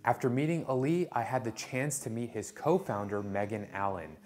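A man's voice: mid-range voice, moderate pace, darkish tone. A man hosting a video, narrating and interviewing with an upbeat, eager manner.

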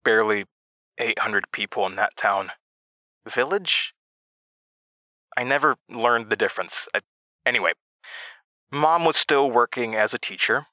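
An American man in his twenties playing a weary, sardonic Midwestern horror radio host in an audio drama, with a dry, halting manner. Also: mid-range voice, animated, sharp tone, dry recording. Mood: reflective. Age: 20s. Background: music behind the voice.

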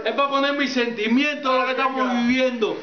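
A man in his thirties with a Cuban accent, talking loud and emotional at a late-night house party, filmed close on a home camera. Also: extremely high pitch, steady tone, a little fast, heavy background noise. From Cuba. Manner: loud, boisterous, slurring, emotional. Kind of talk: drunken party banter. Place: small living room at night. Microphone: handheld camera up close. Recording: dull, lo-fi home camera audio.

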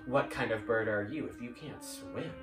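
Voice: mid-range voice, moderate pace, lightly acted. A storyteller doing a cheeky duck. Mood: teasing. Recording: roomy distant recording, faint music.

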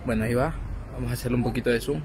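A man filming a dog rescue on a city street, commenting quietly from behind the camera. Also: mid-range voice, fairly even intonation, very fast. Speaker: camera operator and companion. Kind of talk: casual commentary while filming. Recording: outdoor phone recording, clear street noise. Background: street traffic.

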